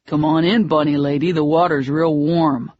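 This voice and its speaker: A man voicing a cocky young daredevil who teases in a low, lazy drawl. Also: fairly even intonation, moderate pace, darkish tone, dry recording, lightly acted. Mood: taunting. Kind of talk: audiobook dialogue. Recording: dull lo-fi dry audio.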